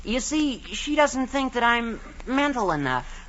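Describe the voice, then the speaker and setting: A performer playing a naive, lovesick teenage schoolboy with an American accent and gawky earnestness in a 1940s radio comedy. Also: female voice, a little high, very animated, a little slow, fairly bright tone. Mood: earnest. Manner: gawky, gee-whiz earnestness. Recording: dull old 1940s radio recording.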